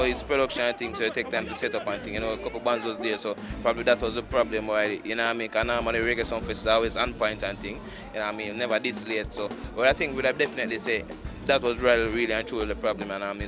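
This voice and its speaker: Male festival interviewee, Jamaican accent, rapid casual rambling, medium pitch, loud music outdoors. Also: flat delivery.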